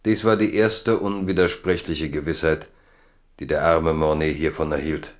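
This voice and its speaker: Casting: male narrator, low register, literary radio drama, deliberate dramatic storytelling. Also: fairly even intonation.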